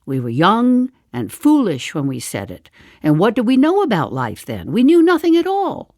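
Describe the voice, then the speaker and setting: An actress in her seventies playing a darkly comic old woman in an audio drama monologue, confiding in a deliberate way with dry understatement. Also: medium pitch, wildly swooping pitch, a little mellow, no room sound. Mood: earnest. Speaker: actor in a one-woman play.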